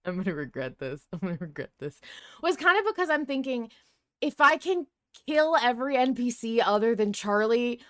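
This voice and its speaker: A woman's voice: on the high side, big pitch swings, normal speed, fairly bright tone, dry recording, studio quality. A woman podcast host talking in casual, frank and playful conversation.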